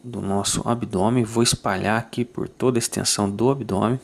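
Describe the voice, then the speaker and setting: Calm man explaining fly tying step by step. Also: lowish voice, a little fast, vocal fry. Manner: calm, methodical, ends phrases with "tá?". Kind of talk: step-by-step tutorial voice-over.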